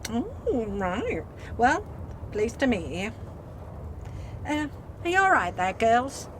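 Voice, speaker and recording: Clear background noise surrounds a woman playing a fussy factory line manager in an amateur radio drama. She takes her time, soothing one moment and bossing the next, with a lively rise and fall. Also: on the high side, takes it slowly, exaggerated voice. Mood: reassuring. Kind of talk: amateur radio play acting. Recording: clearly noisy amateur recording.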